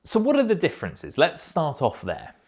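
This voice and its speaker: A man presenting a product review in a measured, explanatory way. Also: fairly high pitch, wildly swooping pitch, darkish tone, slightly rough. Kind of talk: scripted-sounding headset review.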